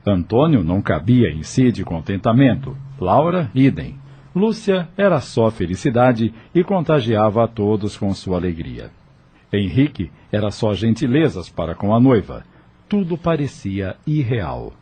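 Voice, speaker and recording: A man's voice: on the low side, very animated, measured pace, warm tone, husky. A man narrating an audio novel in a scripted storytelling style.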